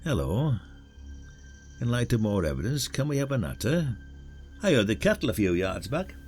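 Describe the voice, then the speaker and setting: A man's voice: medium pitch, very animated, normal speed, a little mellow, faint music behind, lightly acted. A man playing a blunt, ageing retired detective from the working class in a podcast drama, with a Yorkshire accent. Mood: blunt, probing. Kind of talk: podcast audio drama acting.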